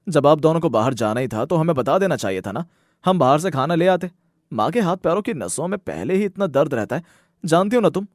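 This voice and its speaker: An earnest young son from a cartoon moral story, played by a man, with a fairly high male voice in a dry recording. He talks directly and familiarly, protective of his family. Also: no echo. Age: young adult. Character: earnest young working sons, protective of their mother. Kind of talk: scripted cartoon story dialogue.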